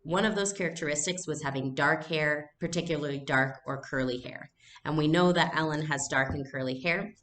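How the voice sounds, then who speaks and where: A woman teacher narrating a novel aloud and pausing to explain it to learners. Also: low-pitched, fairly bright tone.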